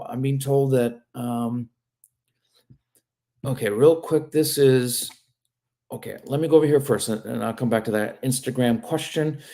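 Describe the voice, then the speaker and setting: A man's voice: medium pitch, dark tone. A man working as an immigration lawyer, answering viewer questions on a live stream in a casual, frank way and often tagging on "okay?". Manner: reads viewer questions aloud and answers them.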